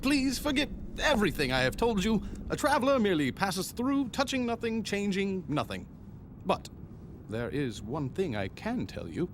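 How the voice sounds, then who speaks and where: An actor playing a whimsical, all-knowing time traveler in a video game, with florid, theatrical, drawn-out phrasing. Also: male voice, high-pitched, very animated, noisy background. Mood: cryptic, gentle. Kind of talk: theatrical game cutscene acting.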